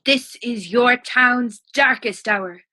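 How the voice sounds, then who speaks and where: A woman's voice: a little high, slow pace, pushed and bright, exaggerated voice. A woman voicing an earnest, urgent tiny male town mayor in a storybook reading. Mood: rallying.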